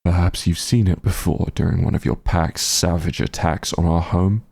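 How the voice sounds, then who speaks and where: A man playing a bitter, menacing fantasy werewolf hunter in an ASMR roleplay, speaking in a cold, deliberate, contemptuous way. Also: low voice, fairly even intonation, vocal fry, darkish tone, slightly rough, heavily acted. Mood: bitter, grieving. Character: bitter, menacing werewolf hunter grieving his missing friend. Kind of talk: scripted ASMR roleplay, slow dramatic monologue.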